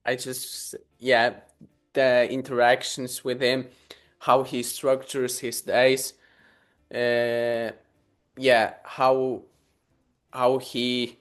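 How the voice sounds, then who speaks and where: A man's voice: mid-range voice, completely flat delivery, very slow, long pauses. A young man from Poland, a Polish native speaker, answering interview questions hesitantly with many fillers and easy laughs. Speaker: guest.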